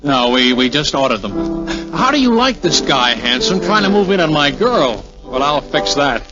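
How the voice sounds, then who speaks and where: Cocky young airman, highish lively voice, muffled 1940s radio play. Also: moderate pace. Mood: breezy, cocky. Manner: breezy, playful banter. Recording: dull old radio broadcast, music behind.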